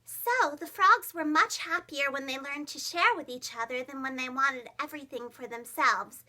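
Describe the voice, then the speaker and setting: A woman narrating a children's storybook as a preschool teacher, expressive, with simple phrasing for young children. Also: sits high, moderate pace.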